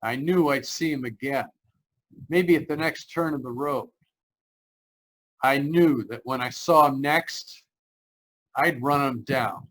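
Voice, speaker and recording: A man's voice: medium pitch, takes it slowly, pauses often, fairly bright tone, low-quality recording. An actor playing a haunted, anxious driver narrating his road trip in old-time radio style, tense and earnest. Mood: brooding, uneasy. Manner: tense, earnest, dramatic storytelling. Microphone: video call. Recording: live video-call performance.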